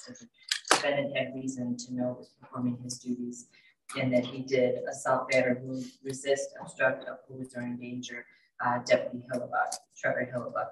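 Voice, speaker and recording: An older woman speaking as a prosecutor, formally reciting charges in a list-like legal motion. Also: rumbling low. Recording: echoey, distant, noisy video-call audio.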